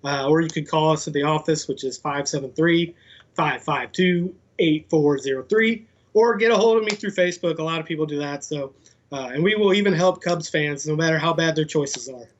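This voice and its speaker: A man's voice: fairly high pitch, fairly quick, muffled recording, slight background noise. A middle-aged man chatting casually as a guest, joking dryly. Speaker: guest, web designer and father. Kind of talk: casual chat.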